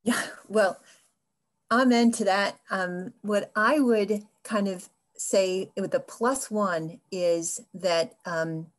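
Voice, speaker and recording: A middle-aged woman chats as a panelist on a video call through earbuds. She takes her time, speaking slowly at a middle pitch. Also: steady tone. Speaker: workshop panelist. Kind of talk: casual online discussion. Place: indoor home room, video call. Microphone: wired earbuds.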